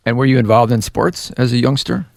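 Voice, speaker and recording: A man conducting a radio interview, his voice slightly gravelly as he asks encouraging questions. The studio sound is dry and close. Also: mid-range voice, fairly quick, no room sound. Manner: warm, encouraging questions with brief backchannels.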